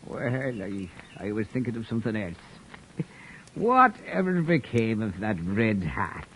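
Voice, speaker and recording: An actor playing a teasing, sentimental middle-aged husband in a 1940s radio drama. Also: male voice, lowish voice, very animated, unhurried, fairly bright tone, a little hiss or hum, heavily acted. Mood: fond. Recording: muffled old radio broadcast.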